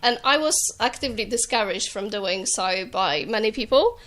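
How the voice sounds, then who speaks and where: A woman whose first language is not English, sharing her story as a guest on a radio talk show in a measured, hesitant way with frequent pauses. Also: medium pitch, expressive, a bit bright. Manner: measured, hesitant with many ums. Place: radio studio.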